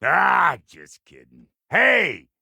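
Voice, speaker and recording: Video game dwarf blacksmith: crude, cranky and sly, creaky and piercingly bright, folksy insults. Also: male voice, high-pitched, wildly swooping pitch, extremely slow, no echo, studio quality, exaggerated voice. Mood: brash, irreverent. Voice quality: gravelly, creaky, sharp. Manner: folksy, blunt, drawling slang and colorful insults. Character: crude, cantankerous, foul-mouthed dwarf blacksmith with a sly streak. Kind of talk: video game character acting.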